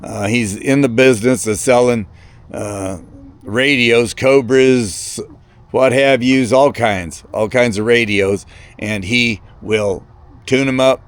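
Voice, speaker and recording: A man talking casually about his hobby in a rambling, hesitant way, halting and repeating words as he goes. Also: mid-range voice, smooth. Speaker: hobbyist video presenter.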